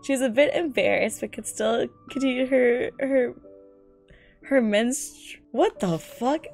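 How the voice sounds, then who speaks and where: A woman's voice: on the high side, measured pace, creaky, darkish tone, faint music behind. A young woman, a non-native English speaker, reading aloud and commenting casually as a streamer, slangy, profane, giggly and exasperated. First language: not English. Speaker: streamer reacting to fan fiction.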